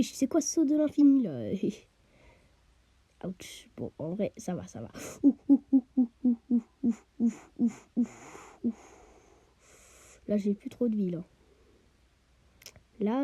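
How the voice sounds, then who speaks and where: A woman talks through her game in a husky, breathy voice with a very dark, mellow tone, a bit stuffy from a cold. She chats away casually, often sinks into a half-whisper and peppers it all with quick little interjections. The sound is close and completely dry. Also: medium pitch, no echo, muffled recording. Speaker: gamer. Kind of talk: let's play commentary.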